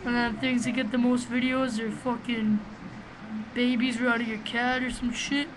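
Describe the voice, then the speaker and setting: A man with a small, high voice giving rambling, profane, excitable commentary while playing a game, recorded on a webcam microphone. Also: moderate pace. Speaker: gamer doing a let's play. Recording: noisy home recording.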